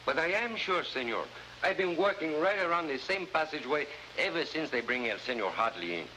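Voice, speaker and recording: An actor playing a cheerful, romantic Latin American prisoner with a Spanish accent, polite and florid in an old crime drama. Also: male voice, a little high, expressive, a little fast, pushed and bright. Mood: amiable, earnest. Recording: noisy, hissy old 1950s drama soundtrack.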